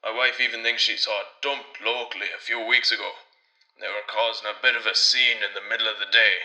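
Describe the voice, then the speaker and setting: A man's voice: lowish voice, level tone, a little fast, bright, forceful tone, echoey room, rough recording. A man playing a neighbourly Irish tradesman who talks in a hesitant, roundabout, deferential way on a radio drama voicemail. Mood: awkward concern. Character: neighbourly Irish tradesman, awkwardly breaking worrying news.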